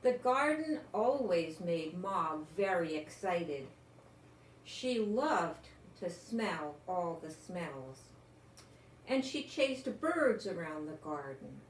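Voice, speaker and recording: An older woman reads a picture book to young children at an unhurried pace, with plenty of lilt in her delivery. She lingers on words and drops in chatty asides. The recording sounds roomy. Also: mid-range voice. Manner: expressive, lingering on words, talking as to small children. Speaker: storyteller reading a picture book aloud. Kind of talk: read-aloud children's story with chatty asides. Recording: roomy home recording with faint noise.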